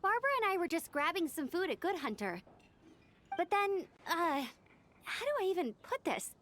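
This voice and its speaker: A woman voicing an excitable young girl in a video game, earnest, flustered and exclamatory. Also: very high voice, moderate pace, a little hiss or hum, heavily acted.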